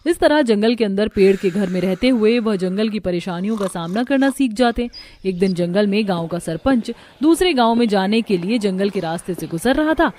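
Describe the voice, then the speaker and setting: A woman's voice: mid-range voice, a little mellow, slight background noise. A woman storyteller reading scripted narration for a cartoon moral story.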